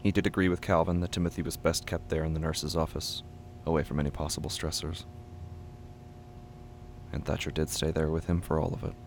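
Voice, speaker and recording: A man reading scripted horror fiction as narrator, measured, deliberate and somber. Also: rumbling low, flat delivery. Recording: heavy constant background noise and hum.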